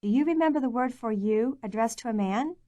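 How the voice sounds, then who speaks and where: Clear, measured woman narrating a language course. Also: fairly high pitch, warm tone, dry recording. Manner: clear, measured, prompting the learner. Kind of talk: scripted instructional narration.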